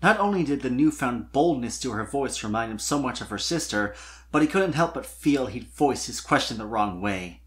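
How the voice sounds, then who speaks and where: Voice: mid-range voice, lively intonation, moderate pace, fairly bright tone, slight background noise. A narrator reading fan fiction aloud as a dramatic scripted story.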